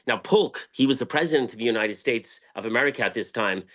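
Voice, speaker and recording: A man's voice: medium pitch, lively intonation, fast, a bit bright. An Irish man telling history as an interview guest, speaking emphatically and passionately, repeating phrases for weight. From Ireland. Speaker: guest, tequila brand owner.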